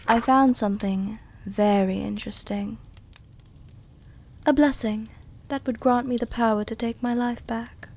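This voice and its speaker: Casting: female actor as seductive vampire noblewoman, ASMR roleplay. Very slow, intimate delivery, comforting, protective, teasing, softly dominant. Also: medium pitch, creaky. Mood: intimate, confiding. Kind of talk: intimate ASMR roleplay, scripted character acting.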